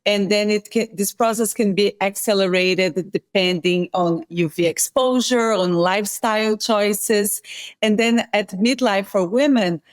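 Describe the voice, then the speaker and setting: A woman from Brazil with a Brazilian accent, a scientist guest explaining things in a measured way, sometimes correcting herself mid-sentence. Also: mid-range voice, no room sound. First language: Portuguese. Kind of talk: interview explanation.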